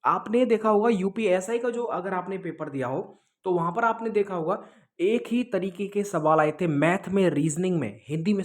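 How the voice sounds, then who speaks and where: Guy coaching students online, casual, explains stuff over and over, drops in english words. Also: sits high. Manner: explanatory, repeats himself, with check-in tags; mixes English words into speech. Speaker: exam coaching teacher. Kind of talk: informal online lesson, answering student questions.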